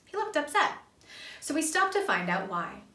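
A young woman reads a children's book aloud with very lively intonation and a bright tone. She is some distance from the microphone in a small, echoey room. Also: high-pitched, moderate pace. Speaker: storyteller. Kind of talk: children's storybook read-aloud. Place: small indoor playroom. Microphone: camera a few feet away. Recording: roomy, distant camera microphone.

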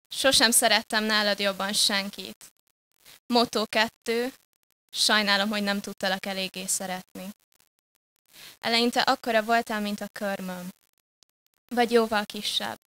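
A young woman performing spoken-word poetry live, deliberate and dramatic, moving between hushed and forceful delivery. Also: mid-range voice, smooth, low-quality recording. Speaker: slam poet. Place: live poetry slam stage.

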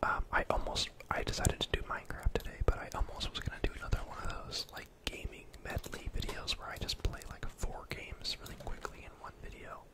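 Young man in a breathy whisper. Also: a little fast, hardly any pauses. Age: young adult. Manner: casual, rambling, thinking aloud. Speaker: gaming ASMR streamer. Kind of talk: whispered ASMR gameplay commentary.

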